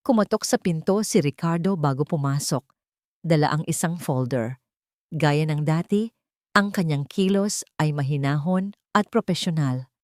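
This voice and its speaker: A woman with a low-pitched voice narrates an audio drama. Her delivery is scripted, dramatic storytelling, captured dry and close without room sound. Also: darkish tone, no room sound.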